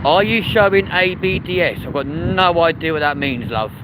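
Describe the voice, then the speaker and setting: A man's voice: on the high side, expressive, normal speed, very noisy. A young man with a London accent, chatting casually as the host of a live stream outdoors in a city, joking and reading out comments. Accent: London, with a mix of areas. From South West London, England. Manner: chatty and jokey, reads chat comments aloud.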